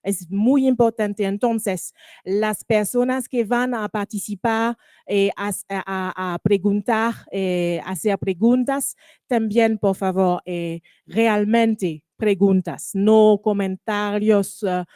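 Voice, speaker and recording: A woman whose first language is French, moderating a panel in a second language, halting and searching for words with many fillers. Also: mid-range voice, fairly even intonation, warm tone, clean tone, no echo, studio quality. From Caribbean. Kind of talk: hesitant formal panel moderation.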